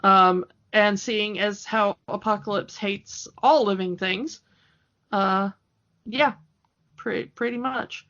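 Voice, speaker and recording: Bright-voiced woman podcaster, unhurried pace. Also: mid-range voice, fairly even intonation, muffled recording. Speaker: podcast co-host. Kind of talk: casual chat recapping a TV cartoon.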